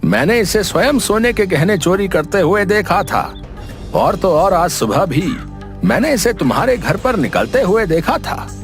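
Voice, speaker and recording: An indignant village headman, acted by a man, makes accusations in a story drama. The voice is high and very animated. Faint music runs behind. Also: measured pace, heavily acted. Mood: accusing. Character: indignant male village headman exposing a culprit.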